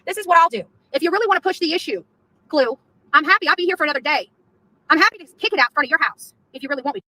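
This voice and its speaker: A woman's voice: high voice, expressive, very fast, pushed and bright, rough recording. A woman on a confrontational live rant, taunting and repeating her phrases, with swearing. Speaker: activist streamer in a played clip.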